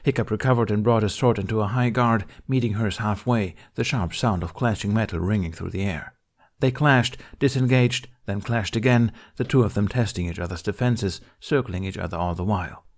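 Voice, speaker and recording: A man reading a fantasy story aloud in an expressive audiobook style. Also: on the low side, brisk. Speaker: fan fiction narrator.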